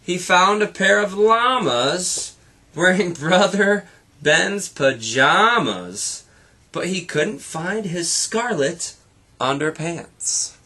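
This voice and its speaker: A man reads a children's story in a bright, forceful voice. He takes his time over the rhythmic verse and delivers it with drama and playful asides, like a teacher reading to a class. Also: high voice, expressive, takes it slowly. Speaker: teacher reading aloud to students. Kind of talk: expressive picture-book read-aloud.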